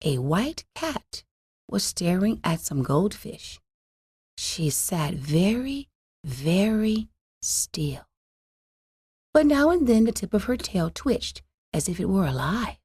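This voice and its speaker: A woman reading a children's story aloud as expressive narration, phrasing it deliberately and dramatically for young listeners. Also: medium pitch, husky, no echo, clean studio sound.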